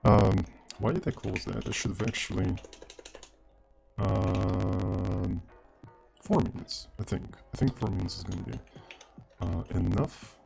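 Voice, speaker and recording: A man's voice: fairly low pitch, steady tone, unhurried, vocal fry, darkish tone, faint music behind. A man, mumbling offhand and swearing casually as he chats with viewers on a live coding stream. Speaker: streamer and programmer.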